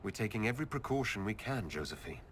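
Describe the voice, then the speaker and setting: A composed leader, lively intonation. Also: male voice, on the low side. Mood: measured. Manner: measured, inquiring. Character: composed, courteous male elf leader. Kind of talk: video game character dialogue. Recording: clear background noise and ambience.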